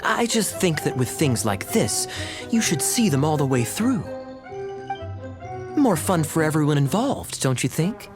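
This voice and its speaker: A man voicing a sly young knight in a video game, smooth-talking, wry and mock-polite. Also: on the high side, big pitch swings, measured pace, over music. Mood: wry, teasing. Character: suave, sly, teasing young male knight. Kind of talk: animated video game voice acting.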